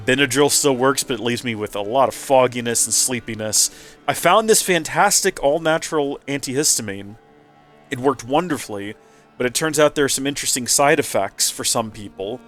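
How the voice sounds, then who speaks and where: A man's voice: medium pitch, a little fast, fairly bright tone, faint music behind. A man hosting a let's play with casual, rambling commentary, his nose stuffy and a little nasal. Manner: chatty, rambling asides.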